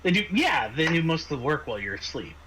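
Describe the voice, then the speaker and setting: A man narrating a tabletop roleplaying game as game master, halting and repeating words as he improvises. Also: a little high, very animated, over a noisy crowd.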